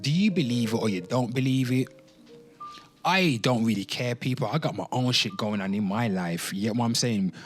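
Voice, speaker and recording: A man's voice: mid-range voice, lively intonation, faint music behind. A man hosting a commentary show, mixing dramatic reading with informal, sweary ranting, drawing words out theatrically and addressing listeners directly. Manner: theatrical, drawn-out words and exclamations. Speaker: host of a pop culture commentary show.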